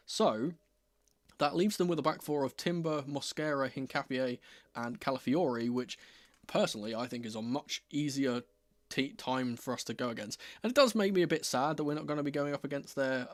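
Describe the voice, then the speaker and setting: A man, a bit croaky from being under the weather, chats casually and wryly as the host of a football watchalong stream. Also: mid-range voice, no room sound, studio quality. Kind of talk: casual livestream chat.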